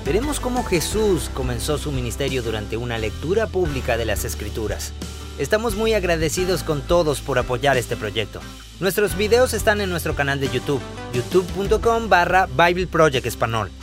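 A man's voice: a little high, big pitch swings, moderate pace, over music. A man dubbing a podcast co-host who asks follow-up questions and also reads the closing thanks, recorded in a studio. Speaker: podcast co-host and outro announcer, Spanish dub. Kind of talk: dubbed conversational podcast.

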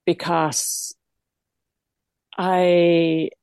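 A woman's voice: lowish voice, little pitch movement, very slow, a little mellow, clear, resonant tone, dry recording, clean studio sound. A woman born in England, now living in Ireland, answering interview questions in a reflective, hesitant way with many restarts and small laughs. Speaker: guest.

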